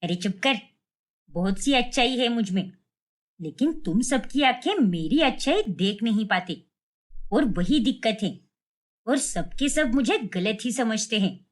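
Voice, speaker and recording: Woman voicing a sharp, scolding Indian mother-in-law. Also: on the high side, lively intonation, measured pace, pitched below the performer's own voice. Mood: angry, scolding. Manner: sharp, nagging, indignant. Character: short-tempered, scolding middle-aged Indian mother-in-law. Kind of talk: cartoon family drama story.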